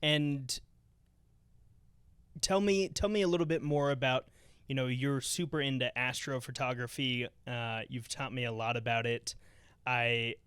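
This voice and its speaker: A young man hosts a casual podcast from home, chatting loosely and circling back over his words. His voice is smooth and clear. The sound is dry and close. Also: mid-range voice, steady tone, a little slow, a bit bright, no echo. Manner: chatty, rambling with many fillers. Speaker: podcast host. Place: home living room.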